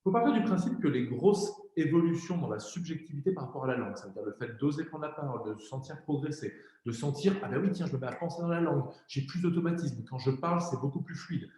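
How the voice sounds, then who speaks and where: A man with a slightly raised, mellow voice explains things in an easygoing, informal way. The recording is very echoey, as if captured in a bare, hollow room. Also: a little high, fast, hardly any pauses. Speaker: co-founder of a learning platform, guest. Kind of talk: explanatory interview talk.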